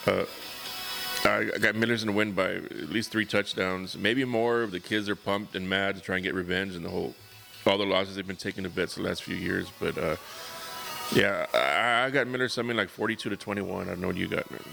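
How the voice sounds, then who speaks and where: A man's voice: deep voice, steady tone, a little fast, vocal fry, pushed and bright, faint music behind. A man, co-hosting a casual sports chat, blunt and swearing freely. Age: 40s. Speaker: co-host.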